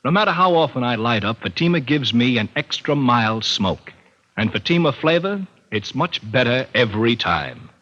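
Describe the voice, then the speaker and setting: A man's voice: mid-range voice, very animated, moderate pace, fairly bright tone. A man giving a scripted testimonial in a 1950s radio advertisement. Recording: muffled old radio broadcast.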